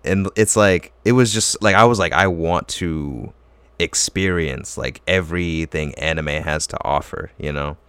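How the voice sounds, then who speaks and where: A man's voice: deep voice, a little slow, fairly bright tone, clean tone, dry recording. A young man telling a long story in casual chat, full of fillers and restarts. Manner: rambling, filler-heavy storytelling.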